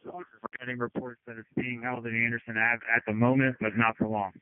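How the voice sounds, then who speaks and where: A man's voice: mid-range voice, little pitch movement, a little fast, smooth, no echo. A man speaking as a fire incident commander in terse emergency radio traffic, over a two-way radio. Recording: tinny narrowband fire radio transmission.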